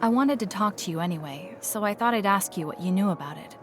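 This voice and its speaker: A woman's voice: medium pitch, quick pace, over music, lightly acted. A woman playing a blunt, businesslike young soldier in an English anime game, curt and cool. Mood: cool, probing. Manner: curt, cool, matter-of-fact.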